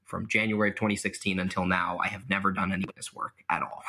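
Bright-voiced young man, self-mocking. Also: deep voice, flat delivery, quick pace. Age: young adult. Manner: self-deprecating, laughs at himself, many fillers. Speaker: guest, mock trial competitor. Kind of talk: casual interview answers.

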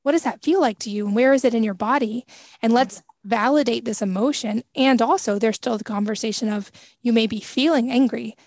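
A woman's voice: a little high, brisk, darkish tone, dry recording, studio quality. A woman podcast host speaking reflectively, thinking aloud as she responds to her guest. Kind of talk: conversational interview.

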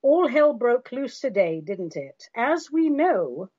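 A woman's voice: a little high, sing-song, slow pace, a little mellow, slightly rough. London woman, podcast host, theatrical and sardonic, calls listeners darlings; lo-fi recording. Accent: London English. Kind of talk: monologue podcast.

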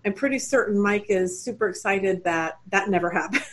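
A woman's voice: mid-range voice, normal speed, rough recording. A woman hosting a Bible study webinar over a video call, chatty and rambling, with lots of fillers and restarts.

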